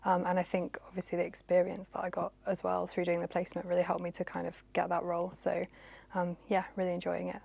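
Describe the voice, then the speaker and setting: A woman's voice: on the low side, completely flat delivery, fast talker, vocal fry, darkish tone, slightly rough. A woman, an assistant psychologist, casually describing her path with some hesitation. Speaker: assistant psychologist, former master's student. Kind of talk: recorded career testimonial.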